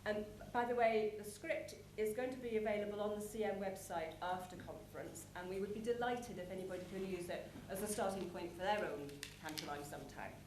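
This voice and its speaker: A woman giving a prepared conference presentation, explaining things in measured phrases with deliberate pauses. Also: mid-range voice, a little mellow. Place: large conference hall with an audience. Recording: echoey conference hall, clear background noise.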